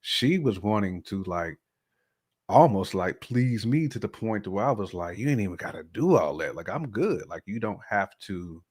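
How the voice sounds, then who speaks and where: A man's voice: on the low side, lively intonation, normal speed, darkish tone, slightly rough. A man talking casually as a podcast guest, in a colloquial, slangy way with frequent check-in phrases. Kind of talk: casual chat, telling personal views.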